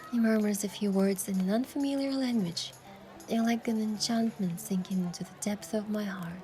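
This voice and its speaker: A female actor plays a young heroine telling her own love story in a romance game. Her mid-range voice is soft and lyrical, moving between playful and tender. Music sits behind her. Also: mid-range voice, normal speed, close to an everyday voice. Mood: dreamy. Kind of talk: scripted first-person narration and dialogue in romance game.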